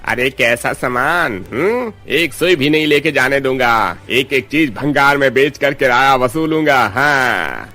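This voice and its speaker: A man plays a heartless, greedy landlord in a cartoon drama. His voice is mid-pitched, sharp and forceful, full of scorn. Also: expressive, unhurried, few pauses. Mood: gloating, harsh.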